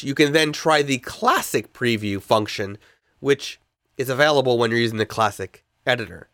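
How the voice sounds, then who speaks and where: A man's voice: mid-range voice, a bit bright, dry recording, studio quality. A man hosting a podcast, congested from a cold, explaining a technical fix step by step with frequent fillers and restarts. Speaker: podcast host.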